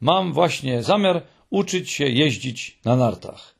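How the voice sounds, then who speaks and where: A man's voice: mid-range voice, lively intonation, slow, creaky, slightly rough, heavily acted. A man voicing a nervous, earnest young male troll creature in an audiobook, speaking in an anxious, pleading way. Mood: urgent. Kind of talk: audiobook character voice.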